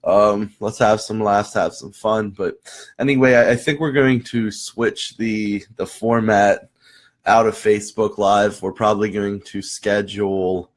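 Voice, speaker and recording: A young man with a low, hoarse voice hosts a casual live chat for viewers. He rambles, restarts his sentences and fills gaps with ums and you knows. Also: fairly even intonation, a little slow. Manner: rambling, many restarts and fillers. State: hoarse after losing his voice. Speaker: host of a live marketing chat.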